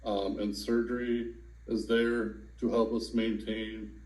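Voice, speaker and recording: Slow, low-voiced man in his thirties. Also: roomy sound, poor audio. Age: 30s. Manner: slow, calm, with fillers. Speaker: adult with congenital heart disease. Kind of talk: personal testimonial.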